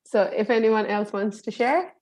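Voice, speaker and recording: A woman's voice: medium pitch, moderate pace, darkish tone, low-quality recording. Friendly Bangladeshi woman facilitator on a video call, casual and chatty. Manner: friendly, chatty, laughs often. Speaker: online program facilitator.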